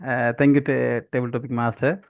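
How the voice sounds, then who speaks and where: A Sri man in his forties giving a deliberate, halting impromptu speech with many fillers. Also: medium pitch, little pitch movement, vocal fry. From Sri Lanka. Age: 40s. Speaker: impromptu speaker. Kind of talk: impromptu table topics speech. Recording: dull lo-fi audio.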